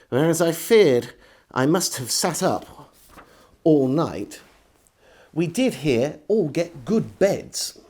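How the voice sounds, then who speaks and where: A man reading a historical diary aloud as a storyteller, phrasing it expressively and measuredly, with deliberate pauses. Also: a little high, a little mellow. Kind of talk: dramatised audiobook narration of a 17th century diary.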